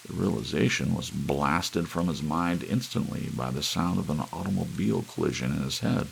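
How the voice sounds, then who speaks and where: A man with a deep, heavily creaky voice reads a story in ASMR fashion. His intonation rises and falls expressively. Soft rain and a faint hiss run behind him. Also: normal speed. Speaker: audiobook narrator. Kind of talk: relaxed ASMR story narration.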